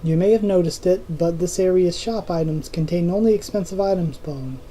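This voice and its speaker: A hoarse reader voicing a game guide mascot who tacks a catchphrase onto its lines, speaking it lower and softer than the narration. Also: normal speed, a little hiss or hum, natural voice. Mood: advising.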